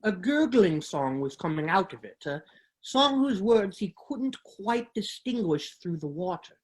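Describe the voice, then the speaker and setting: A woman's voice: medium pitch, sing-song, unhurried, slightly rough. A woman actor giving a dramatic reading from a book.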